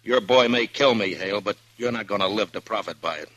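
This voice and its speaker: An actor playing a calm, tough frontier marshal in a 1950s radio drama, dry and clipped. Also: male voice, on the low side, fairly quick, lo-fi sound. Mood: steady. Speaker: town marshal. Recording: old radio broadcast.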